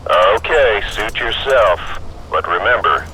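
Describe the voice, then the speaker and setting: A man playing a gruff older starship engineer in an audio drama, with a folksy, clipped, probing way of talking. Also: lowish voice, lively intonation, slow, very noisy. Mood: ominous warning. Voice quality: gruff, gravelly.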